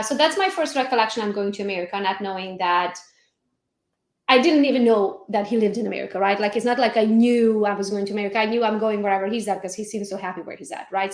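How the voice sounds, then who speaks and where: A woman from Transylvania with a Hungarian accent, telling her life story as an interview guest in a lively, laughing way. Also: on the high side, reverberant. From Transylvania, Romania. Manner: animated, laughs often, acts out quotes. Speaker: guest, real estate agent.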